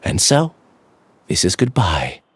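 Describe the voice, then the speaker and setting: A man's voice: sits low, huge pitch swings, slow, slight background noise, heavily acted. An actor playing a resigned, apologetic young male researcher in a video game, speaking earnestly and solemnly. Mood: confessional, resolute. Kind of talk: video game character acting.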